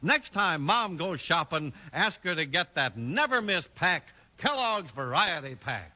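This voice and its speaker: A man's voice: on the high side, big pitch swings, unhurried, bright, forceful tone, a little hiss or hum. A man with an American accent announcing and reading a sponsor message in 1950s radio style. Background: music.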